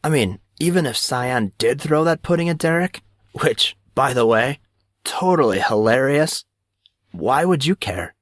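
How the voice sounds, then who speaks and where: A man's voice: medium pitch, expressive, unhurried, fairly bright tone, no echo, clean studio sound, voice pushed up. A man playing a laid-back, slangy young schoolboy with a teasing manner in an audiobook. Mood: amused, puzzled. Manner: casual, slangy.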